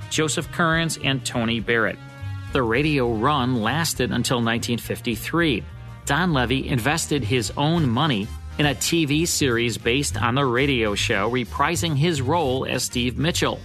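A man's voice: medium pitch, bright, forceful tone. A man with an American accent hosting a radio show, reading a scripted introduction. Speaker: host. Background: music.

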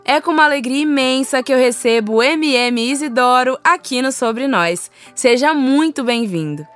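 A woman's voice: high-pitched, moderate pace, a bit bright, soft background music. A woman with a Brazilian accent, hosting a podcast as she introduces and interviews a guest. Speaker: podcast host.

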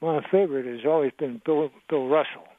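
An elderly man in his eighties phones in to a sports talk show, wandering through his memories and losing the thread now and then. His voice sits a little high. It comes through a narrow, phone-like line with no room sound at all. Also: a little fast, no echo. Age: 80s. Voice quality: rambling, reminiscing. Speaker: caller. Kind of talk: phone-in radio call. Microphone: telephone. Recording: tinny phone line, dry.

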